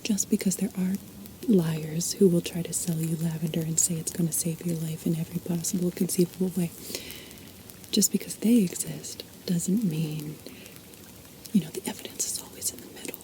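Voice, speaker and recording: A woman whispering softly in an ASMR video, chatting and wandering off on tangents as she explains things. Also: fairly low pitch, fairly even intonation, normal speed, a little hiss or hum, poor audio. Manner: soft unvoiced whisper, chatty and digressive. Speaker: ASMR host.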